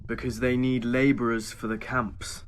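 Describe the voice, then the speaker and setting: A man's voice: medium pitch, flat delivery, normal speed, lightly acted. A man playing a guarded, bitter underground club boss in an audio drama, terse and pointed. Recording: faint ambient noise behind.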